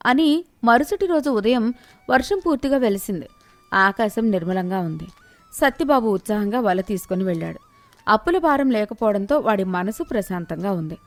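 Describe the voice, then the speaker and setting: A woman's voice: medium pitch, lively intonation, normal speed, dry recording. A woman narrator reading a scripted moral tale in storytelling style.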